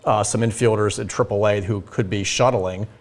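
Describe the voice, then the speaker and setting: A man's voice: on the low side, steady tone, moderate pace. A man working as a team insider, chatting casually and thinking aloud with plenty of hedges. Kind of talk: casual analytical chat.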